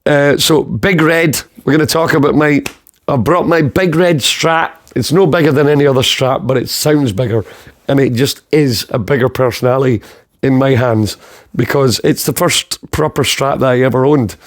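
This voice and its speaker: A man's voice: medium pitch, normal speed. A man from the south of Scotland hosting a podcast in casual chat, rambling, swearing freely and laughing at his own jokes. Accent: southern Scottish. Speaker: podcast host.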